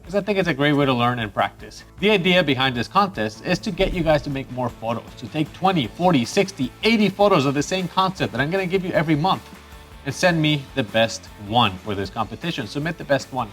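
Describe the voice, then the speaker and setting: A man from Costa Rica hosting his photography channel in a chatty, upbeat way, with bursts of excitement. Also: fairly high pitch, fast, faint music behind. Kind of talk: casual YouTube presenting.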